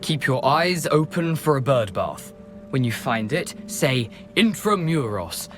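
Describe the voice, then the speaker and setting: A man's voice: medium pitch, sing-song, a little slow, faint music behind. An actor playing a cheeky, wary young male ghost in a video game, with a wry, wordy, theatrical manner. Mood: wry, reluctant. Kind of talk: video game character acting.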